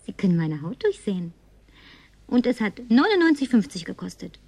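An actress playing a tipsy, flirtatious, lonely woman survivor in a radio drama, coquettish and slipping into breathy whispers. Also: mid-range voice, very animated, moderate pace, mellow, dry recording. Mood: flirtatious, coaxing. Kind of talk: German radio drama acting.

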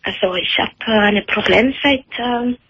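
An Italian woman in her thirties calling a radio show, speaking German hesitantly with an Italian accent and many pauses. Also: a little high, breathy edge. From Italy. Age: 30s. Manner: hesitant, halting with many fillers. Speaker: caller. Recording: dull phone-in radio sound.

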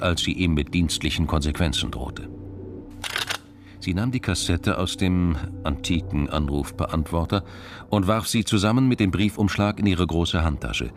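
An actor narrating a radio crime drama as a sober, measured storyteller. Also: male voice, deep voice, background noise. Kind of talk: scripted literary narration.

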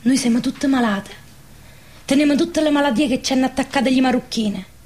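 A woman's voice: high voice, normal speed, darkish tone, close to an everyday voice. An actress playing a traumatised young village woman in a radio drama, ashamed and bitter, plaintive, with a southern Lazio dialect. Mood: despairing. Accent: southern Lazio Italian dialect.

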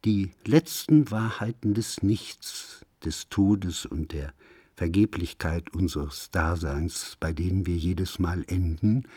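A man with a low, husky voice gives a solemn scripted reading of literary prose. He takes his time over each phrase, grave and declamatory in the way of a radio recitation. The pace is slow and deliberate. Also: mellow, no echo, clean studio sound. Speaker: reader of a literary essay.

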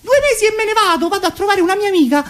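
A man's voice: extremely high pitch, lively intonation, rapid-fire, a bit bright, pitched above the performer's own voice. A man voicing an exasperated, overworked female electrical plug in a comic radio sketch, whining indignantly with a Roman Italian accent. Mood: desperate, fed up. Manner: whining, indignant, rambling complaint.

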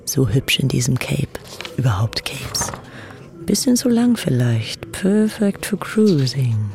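An actress playing a dreamy, fashion-mad young society woman, breathy and mixing in English as she reads magazines in an audio drama. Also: very deep voice, very animated, slow, darkish tone, faint music behind, exaggerated voice. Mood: dreamy, admiring. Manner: breathy, drifting between German and English.

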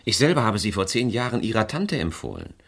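Troubled businessman in a radio mystery, deep voice, earnest, kinda defensive. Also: huge pitch swings, a little fast, creaky, fairly bright tone, breathy edge, exaggerated voice. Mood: puzzled. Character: harried married French businessman, defensive and troubled. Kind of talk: German radio crime play acting.

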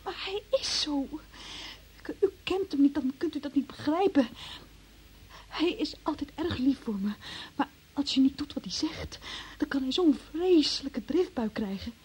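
A woman's voice: high-pitched, lively intonation, moderate pace, a little mellow, poor audio. An actress playing a frightened, defensive young woman under questioning in a radio play, fearful and tearful in manner. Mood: fearful, subdued. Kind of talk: Dutch radio play acting.

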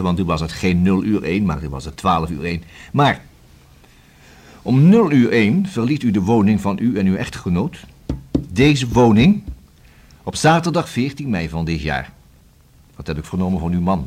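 Husky police investigator in a radio play, very formal n wordy, polite but wont let go, big swings in pitch. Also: male voice, darkish tone, heavily acted. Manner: formal, deliberate, long-winded. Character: persistent, courteous male police investigator questioning a suspect. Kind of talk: Dutch radio play acting.

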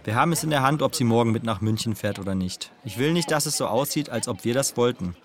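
Brisk man narrating over guitar music. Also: medium pitch, animated.

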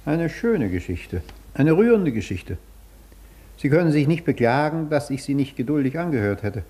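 A man acting a suave, sardonic gentleman interrogator in a German radio drama, polite on the surface while probing and mocking. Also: fairly high pitch, sing-song, normal speed. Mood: cold, mocking.

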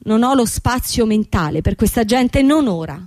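A woman's voice: on the high side, lively intonation, normal speed, a little mellow, no room sound, studio quality, natural voice. An actress playing a young woman company boss in a radio drama, formal, insistent and manipulative. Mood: cold, pressing. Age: young adult. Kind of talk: theatrical radio drama acting.

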